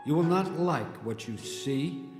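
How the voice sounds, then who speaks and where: An actor playing an ominous male official who speaks in a formal, measured way in an audio drama. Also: fairly high pitch, expressive, reverberant, big-room sound, over music.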